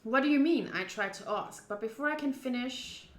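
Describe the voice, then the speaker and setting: A woman livestreaming a visual novel playthrough, switching between casual chat and expressive reading of the game text aloud. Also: high voice, normal speed, roomy sound. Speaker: streamer playing a visual novel.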